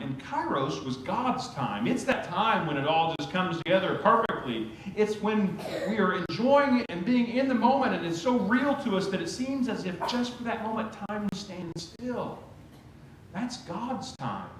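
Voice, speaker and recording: Male pastor, high lively voice, conversational storytelling sermon, very echoey sanctuary with faint hum. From Oklahoma. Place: church sanctuary.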